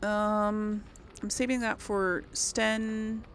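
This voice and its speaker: A woman gives relaxed, rambling game commentary at a slow pace. Her voice has plenty of creak, and she thinks aloud as she goes. Faint noise and game ambience sit behind her. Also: mid-range voice. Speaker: gaming video host. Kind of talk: casual let's play commentary.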